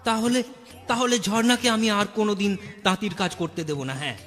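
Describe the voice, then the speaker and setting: Woman voicing an animated, earnest young bridegroom. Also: medium pitch, fairly quick, lightly acted. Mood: determined. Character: earnest young bridegroom, startled, devoted husband. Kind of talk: Bengali fairy-tale cartoon acting. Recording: hall reverb, clear music behind.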